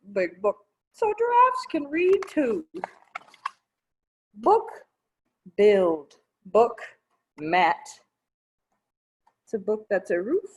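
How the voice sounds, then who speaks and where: A woman presenting a children's storytime, reading aloud expressively and explaining things simply for young listeners. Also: mid-range voice.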